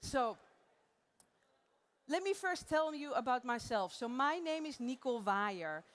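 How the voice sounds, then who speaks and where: A woman's voice: high-pitched, lively intonation, measured pace. A woman from the Netherlands with a Dutch accent, giving a playful, chatty live conference talk on stage and laughing often. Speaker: conference presenter.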